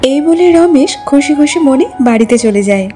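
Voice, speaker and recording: A woman's voice: high-pitched, lively intonation, moderate pace, mellow. A woman storyteller reading scripted narration for a cartoon folk tale. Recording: music behind the voice.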